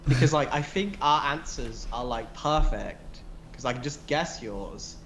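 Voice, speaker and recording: A man's voice: mid-range voice, unhurried, a bit bright, a little hiss or hum. A man co-hosting a casual livestream, rambling and restarting his sentences. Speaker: livestream co-host. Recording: echoey room.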